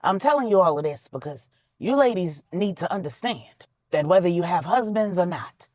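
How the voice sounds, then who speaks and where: A woman's voice: lowish voice, expressive, moderate pace, a little mellow, dry recording, studio quality. A woman voicing a wise older mother in a story, giving earnest, folksy, emphatic counsel.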